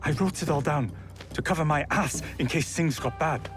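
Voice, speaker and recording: An actor playing a panicky, hapless husband in a video game drama, flustered, whiny and stammering. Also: male voice, a little high, normal speed, natural voice. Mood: nervous, resigned. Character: panicky, cowardly, hapless husband fleeing trouble. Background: background music.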